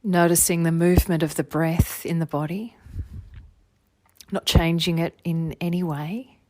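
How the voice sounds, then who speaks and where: A woman leading a guided meditation as a teacher, giving gentle, soothing body-scan instructions in an unhurried way. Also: lowish voice, steady tone. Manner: soothing, unhurried, gentle instruction with long phrases trailing softly.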